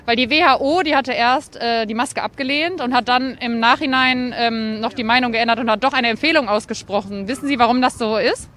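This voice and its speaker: A woman's voice: a little high, fairly quick, hardly any pauses, a bit bright. Young female street interviewer, city noise behind. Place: busy city street outdoors. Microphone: handheld microphone. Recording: outdoor street with traffic noise. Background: traffic and city ambience.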